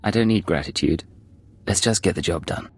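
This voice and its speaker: An actor playing a hard, terse undercover intelligence agent in a video game, cool and controlled. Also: male voice, deep voice, a little fast, husky, faint music behind.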